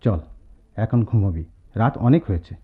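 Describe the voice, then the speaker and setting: A man's voice: fairly low pitch, animated, normal speed, husky. An actor in a radio drama playing an earnest, loyal young student who tells his friend's story with emphatic, dramatic delivery. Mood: concerned, urging. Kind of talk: Bengali radio drama acting with narration. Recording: dull noisy old radio-play recording.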